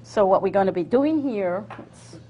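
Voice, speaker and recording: A middle-aged woman guides a cooking demonstration in a casual, slightly hesitant way. Her voice sits at an ordinary pitch, with clear noise in the studio kitchen behind her. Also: lively intonation, moderate pace, mellow, muffled recording. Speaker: guest cook demonstrating a recipe.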